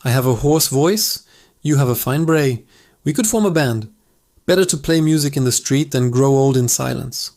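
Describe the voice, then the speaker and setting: A man voicing an old, weary but determined male guard dog with a hoarse voice. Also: mid-range voice, normal speed, a little mellow, no room sound, studio quality, close to an everyday voice.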